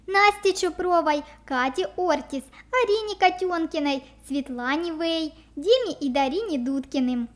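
A children's gaming channel host giving let's play commentary and shout-outs in a cutesy, presentational way. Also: high voice. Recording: music bed under the voice. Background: background music.

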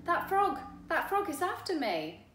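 A woman's voice: extremely high pitch, big pitch swings, a little slow, a bit bright, reverberant, voice pushed up, heavily acted. A woman voicing a frightened little fly, panicky, in a children's storybook. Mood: panicked.

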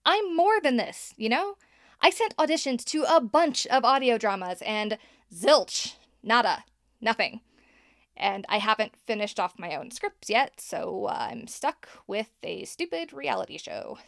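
A woman's voice: on the high side, expressive, unhurried, creaky, fairly bright tone, no room sound, studio quality, exaggerated voice. A woman playing a rambling nervous podcaster. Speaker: podcast host. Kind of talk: audio drama acting.

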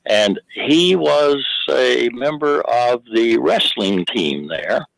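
Older man phoning in, rambling storyteller, mid pitch, dry. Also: expressive, takes it slowly, vocal fry, no room sound, rough recording. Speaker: caller. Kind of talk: phone-in call.

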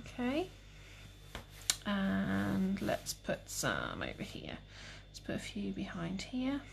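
A young woman with a calm, relaxed voice, demonstrating cake painting live and chatting with viewers. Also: medium pitch, takes it slowly, vocal fry, a little hiss or hum. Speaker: cake decorating tutor.

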